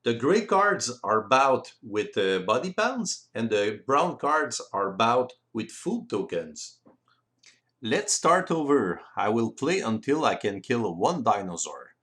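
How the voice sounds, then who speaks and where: A man explaining board game rules step by step in simple, deliberate phrasing. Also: mid-range voice, a bit bright. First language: French. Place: voice-over recorded separately from the video.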